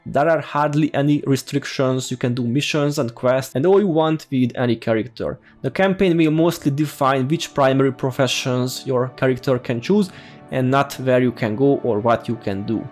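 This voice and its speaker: A man's voice: mid-range voice, normal speed, darkish tone, faint music behind. A man with a strong Hungarian accent, giving a friendly, informal game tutorial as a voice-over. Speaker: gaming YouTuber.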